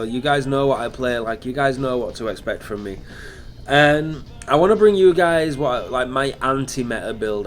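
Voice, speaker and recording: A man's voice: medium pitch, slight background noise. A man hosting a gaming channel, talking through a build in casual commentary, sounding nasal and sniffly from hay fever. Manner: chatty, explaining step by step.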